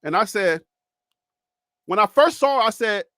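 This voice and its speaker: A man from Liberia, hosting a live call-in show in a preachy, animated way and reading the chat. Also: high-pitched, normal speed, dry recording, studio quality. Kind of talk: casual livestream talk.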